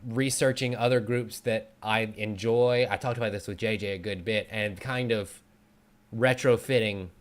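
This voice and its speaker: A man's voice: lowish voice, steady tone, moderate pace. A man talking as a guest in a casual conversation, explaining things as an online video creator.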